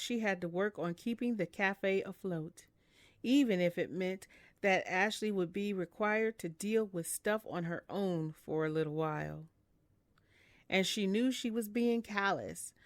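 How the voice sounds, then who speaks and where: A woman hosting a let's play, reading a visual novel's narration aloud in a calm, measured way. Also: mid-range voice, dry recording.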